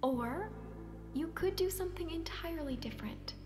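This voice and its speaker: A woman's voice: very high-pitched, animated, moderate pace. A woman acting a caring, persistent character who coaxes and probes in naturalistic stage-play dialogue, sometimes hesitantly. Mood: worried, nudging. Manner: coaxing, probing, hesitant at times. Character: Sonia, caring, persistent woman nudging a withdrawn man. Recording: roomy sound with music behind.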